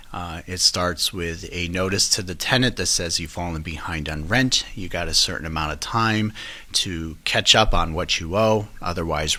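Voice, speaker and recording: A man, an attorney, explaining legal process in a measured, explanatory way on a podcast. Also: sits low, pushed and bright. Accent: New York. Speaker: eviction attorney guest. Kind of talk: podcast interview.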